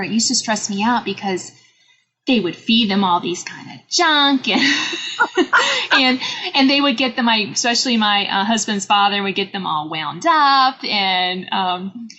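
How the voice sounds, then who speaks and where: A middle-aged woman hosting a podcast interview, chatty and animated, often laughing as she talks. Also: mid-range voice, moderate pace. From Northern Virginia. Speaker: podcast host. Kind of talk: casual interview chat. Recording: slightly dull lo-fi audio with faint noise.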